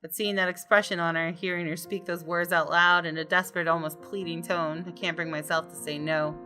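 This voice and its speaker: A fairly low, bright female voice over game music. She is a streamer reading a visual novel's narration aloud, expressively and with some drama. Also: fairly even intonation, fairly quick. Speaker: gaming streamer. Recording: music behind the voice.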